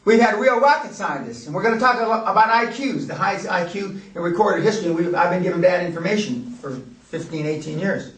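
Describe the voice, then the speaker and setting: A man's voice: high voice, fast, hardly any pauses, fairly bright tone. A man hosting a seminar, talking brashly and rambling, with profanity. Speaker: seminar host. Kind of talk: blunt seminar talk. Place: seminar room indoors. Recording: echoey room from a distance.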